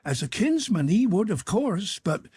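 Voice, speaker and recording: A man putting on a husky, shrill old housekeeper woman. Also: sing-song, measured pace, dry recording, studio quality, exaggerated voice. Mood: cordial. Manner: sharp and scolding, then cordial. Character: old woman housekeeper, bustling, scolding yet kind.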